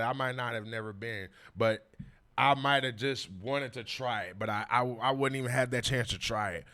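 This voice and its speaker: Bright, crisp-voiced man in his forties. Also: mid-range voice. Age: 40s. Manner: emphatic, repetitive street slang, frequent "you know what I mean". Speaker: podcast guest. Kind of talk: casual storytelling interview.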